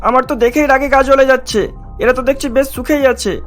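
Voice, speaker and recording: A man's voice: extremely high pitch, fairly even intonation, a little fast, a bit bright, soft background music. A man voicing a cruel, hot-tempered husband and father in a cartoon story, harsh and melodramatic. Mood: resentful, scheming.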